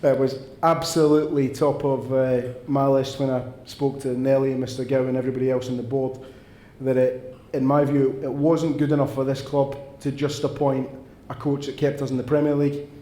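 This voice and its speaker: A Scottish man speaks on a panel at a fans' event, earnest and long-winded. His voice is dark and mellow and keeps to a narrow pitch range. The room is echoey, with a faint noise behind him. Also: level tone, normal speed. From Scotland. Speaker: football director on a panel. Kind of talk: panel answer at a fans' forum.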